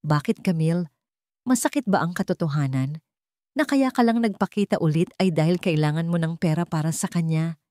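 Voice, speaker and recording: A woman's voice: on the low side, brisk, dark tone, no echo, close to an everyday voice. An angry, jealous young tycoon from a radio drama, acted by a woman storyteller. He is bitter and demanding, throwing accusations in a dry, close recording. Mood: bitter accusing. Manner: accusing, confrontational.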